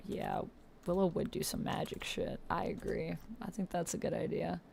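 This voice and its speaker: A woman with a slightly low voice chats casually on a livestream. She is slangy and expressive, often thinking out loud as she reacts. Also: moderate pace, creaky, a little hiss or hum. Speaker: YouTuber livestreaming a text roleplay game. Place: indoors at a computer.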